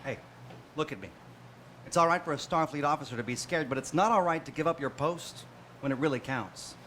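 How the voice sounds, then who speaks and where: A woman's voice: sits low, brisk, a little hiss or hum. A woman playing an awkward but brave starship captain, half singing a pep talk in a stage musical parody. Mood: reassuring. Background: loud music accompaniment.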